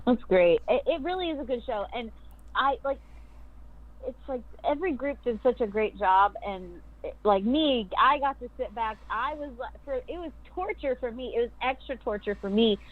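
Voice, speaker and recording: A woman, possibly a voice director, chatting giggly and animated about recording sessions. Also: a little high, moderate pace. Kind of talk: casual chat. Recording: muffled lo-fi audio.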